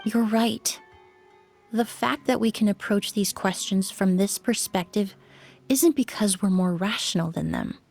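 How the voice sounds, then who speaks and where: A woman voicing a composed adult perfumer and crime-scene cleaner in a game, speaking in a measured, thoughtful way. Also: medium pitch, creaky, a little mellow, soft background music. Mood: calm, reflective. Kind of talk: game voice acting.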